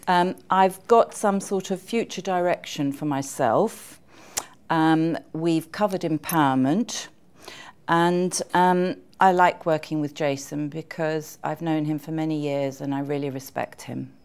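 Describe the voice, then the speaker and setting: A woman's voice: sits low, unhurried, a little mellow, smooth. A middle-aged woman who is an author and seminar attendee, giving an informal spoken testimonial.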